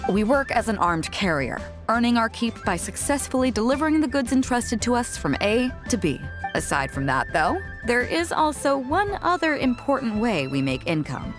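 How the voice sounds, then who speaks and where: A bold pirate fleet captain from a video game, speaks with assured command and a teasing edge. Her pitch swings widely as she talks, and soft music plays behind her. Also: big pitch swings, normal speed. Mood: businesslike. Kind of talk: video game character acting.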